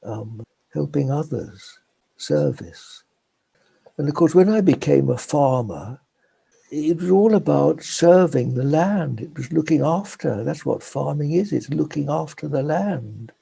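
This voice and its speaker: An older man talks slowly and reflectively as an interview guest. His voice is gravelly and husky, mellow and dark in tone, a little higher than most men's. He laughs softly between thoughts. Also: expressive. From England.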